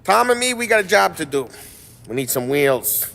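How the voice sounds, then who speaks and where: An actor playing a cocky, teasing American mob enforcer in a 1930s crime game, with brash wiseguy talk. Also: male voice, fairly high pitch, big pitch swings, moderate pace, creaky, background noise. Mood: teasing, bossy. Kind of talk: cinematic video game acting.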